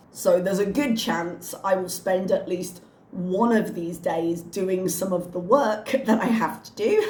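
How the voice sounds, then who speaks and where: Hoarse woman chatting with wry humor. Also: lowish voice, a little mellow, reverberant, slight background noise. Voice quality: slightly strained. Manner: chatty, wry, self-mocking. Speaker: reaction channel host. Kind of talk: casual chat to camera.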